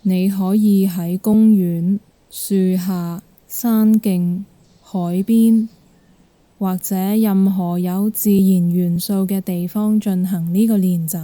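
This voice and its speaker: A woman with a Hong Kong Cantonese accent guiding a meditation in a gentle, calm manner. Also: medium pitch, dry recording. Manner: gentle, calm, unhurried instruction. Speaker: guided meditation instructor. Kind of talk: guided meditation narration.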